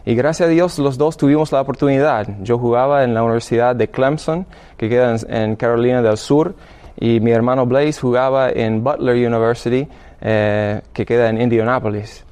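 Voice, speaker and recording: A man's voice: medium pitch, steady tone, darkish tone, smooth. American man, hesitant Spanish. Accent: American English. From Illinois, United States. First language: English. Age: 30s. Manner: halting, many restarts. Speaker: seminarian guest. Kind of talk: radio interview testimony.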